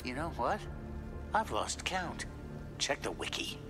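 An actor voicing a sadistic, darkly playful masked killer in a video game, gravelly and breathy, mocking as he savors his threats. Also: fairly high pitch, sing-song, slow pace, music in the background. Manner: mocking, menacing, savoring words. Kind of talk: video game villain dialogue.

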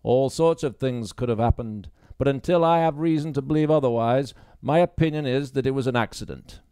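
A male narrator plays a wartime policeman in an audiobook mystery. He is brusque, matter-of-fact and quick to dismiss, in a dry, close recording. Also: mid-range voice, mellow, clean tone, dry recording, pitched above the performer's own voice, natural voice. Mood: assured.

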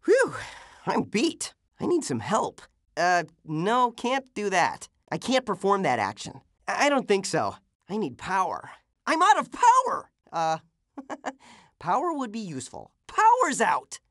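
Boyish teen ghost superhero for a video game, wisecracking and earnest, pitch jumps all over, super dry sound. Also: male voice, huge pitch swings, measured pace, fairly bright tone, dry recording, full character voice. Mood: weary, stuck. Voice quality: youthful, boyish. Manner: exclamatory, wisecracking. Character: plucky teenage half-ghost boy superhero, wisecracking, earnest. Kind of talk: video game character voice clips.

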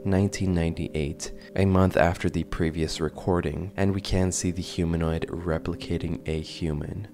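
Young male narrator, very low register, scripted commentary, close mic, music bed. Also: steady tone, moderate pace, few pauses. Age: young adult. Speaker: host of horror video essay channel. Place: small room indoors at home. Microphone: large desk microphone, close.

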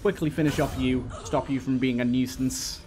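A man's voice: mid-range voice, steady tone, moderate pace, music in the background. A man hosting a casual let's play, talking to the game's characters and repeating his phrases as he goes. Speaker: gaming host.

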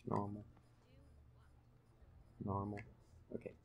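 A young man giving low-key, explanatory commentary while playing a video game at home. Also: completely flat delivery, slow pace, vocal fry, darkish tone, slight background noise. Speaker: gaming channel host playing the game. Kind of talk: casual let's play commentary. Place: room at home.

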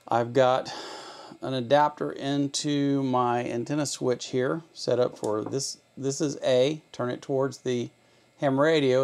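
Gravelly man explaining hands-on. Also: mid-range voice, a little mellow. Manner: explanatory, poses and answers his own questions. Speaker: host of an electronics hobby channel. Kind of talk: casual hands-on demonstration.

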